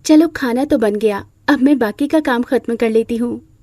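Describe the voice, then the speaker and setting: A woman's voice: a little high, moderate pace, darkish tone, no room sound, close to an everyday voice. A woman voicing a humble, dutiful young bride in a moral story, meek and respectful. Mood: polite. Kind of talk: Hindi moral-story character acting.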